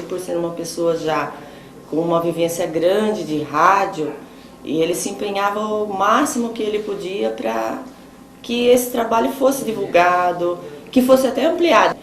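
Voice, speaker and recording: A middle-aged Brazilian woman giving an informal spoken testimonial. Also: on the low side. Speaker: theatre performer giving a tribute. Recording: echoey room, faint noise.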